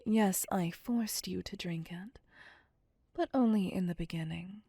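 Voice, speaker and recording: A woman playing a possessive, cocky vampire captor in an ASMR roleplay, with a breathy, husky, teasing and domineering whisper. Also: fairly low pitch, warm tone, no room sound. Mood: teasing, smug. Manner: slow, teasing, seductive, domineering. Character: possessive, cocky, sadistic female vampire captor, playfully menacing. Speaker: voice actor performing audio roleplay.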